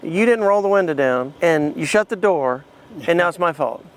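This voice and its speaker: A man's voice: fairly high pitch, lively intonation, moderate pace, clean tone, low-quality recording. A middle-aged man hosting a casual car vlog, ad-libbing in a blunt, joking, salty way. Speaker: vlog host and car dealer. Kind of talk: casual, ad-libbed walkaround vlog.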